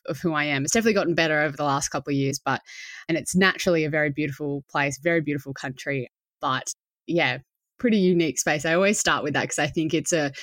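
Low-voiced Australian woman, reflective guest. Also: dry recording. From Australia, south of Sydney. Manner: reflective and rambling, with restarts. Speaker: podcast guest, author. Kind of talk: casual interview chat.

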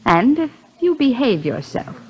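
An actress in a 1950s radio drama playing a cool, brazen blackmailer with a hard-boiled, taunting manner. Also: female voice, a little high, very animated, a little slow, slightly rough, exaggerated voice. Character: cool, brazen female blackmailer, a blues singer.

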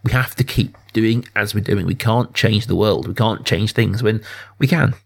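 A man, perhaps in his thirties, talking through a film casually and earnestly, thinking aloud in a halting way as a podcast host. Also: lowish voice, vocal fry, slightly rough.

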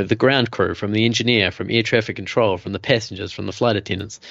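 A man in his thirties with a New Zealand accent, telling his story as a podcast guest in a relaxed way with many fillers. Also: lowish voice, no echo, lo-fi sound. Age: 30s. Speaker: guest, coach. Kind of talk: casual interview chat.

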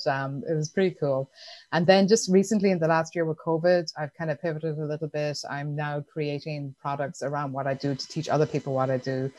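A woman with an Irish accent, chatting as a guest in an interview, laughing easily and filling pauses with "um" and "like". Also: low voice, darkish tone, no room sound. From Ireland. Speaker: guest entrepreneur. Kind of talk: casual interview answers.

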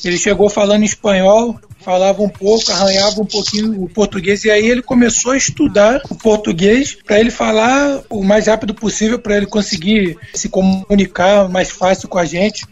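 Male interview guest, high voice, relaxed and chatty, dull radio sound with faint music. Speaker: basketball player guest.

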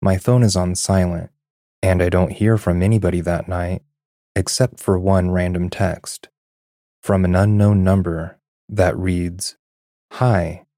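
Male narrator for story podcast: deep, mellow, deliberate scripted read, very dry close recording. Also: flat delivery, no echo. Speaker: podcast narrator reading listener stories.

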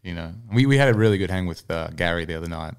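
A man's voice: sits low, lively intonation, rapid-fire, clear, resonant tone. A man speaking as an interview guest, a singer-songwriter telling anecdotes in an easygoing, conversational way. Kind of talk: casual interview chat, anecdotes.

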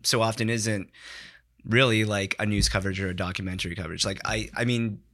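Dude guesting on a podcast, lowish but bright voice, loose thinking-out-loud chatter. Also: male voice, fairly even intonation, brisk. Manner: informal, thinking aloud with frequent "like". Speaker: podcast guest, digital content creator. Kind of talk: casual chat. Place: podcast studio.

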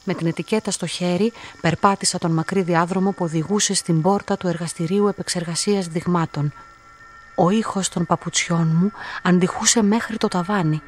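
An actress playing a solitary young woman in a radio play, polite, measured and introspective, quietly devoted and resolute. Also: fairly low pitch, steady tone, natural voice. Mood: introspective narration. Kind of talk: radio drama acting and first-person narration.

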